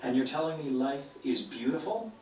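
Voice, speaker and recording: An actor playing a theatrical, eloquent bard in a video game, speaking with a storyteller's dramatic, wry phrasing. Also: male voice, mid-range voice, animated, normal speed, a little mellow, natural voice. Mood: wry, probing. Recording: roomy game playback, distant, music underneath.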